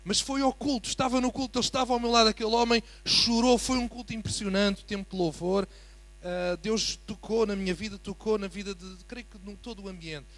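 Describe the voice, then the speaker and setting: Portuguese guy (european accent) preaching in church, highish voice, gets fired up and emphatic. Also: steady tone, a little fast. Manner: swells from quiet greeting to fervent emphatic preaching. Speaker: guest preacher. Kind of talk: sermon.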